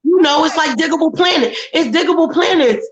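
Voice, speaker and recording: A woman hosting a live chat show, a little hoarse, telling stories in a brassy, profane way. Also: high voice, fairly quick, reverberant, lo-fi sound. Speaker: host.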